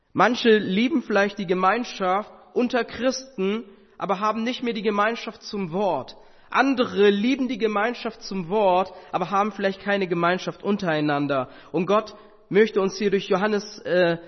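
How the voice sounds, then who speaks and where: A man whose first language is Tamil, preaching an earnest sermon with rhetorical questions and occasional hesitations. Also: sits high. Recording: muffled sound with long hall reverb.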